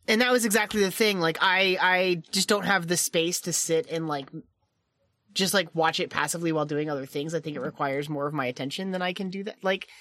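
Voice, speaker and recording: A woman's voice: on the low side, fairly even intonation, fairly quick, fairly bright tone, no echo, clean studio sound. A woman co-hosting a podcast in casual chat, rambling with lots of "like"s and laughing often. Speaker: podcast co-host and editor. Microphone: home podcast mic.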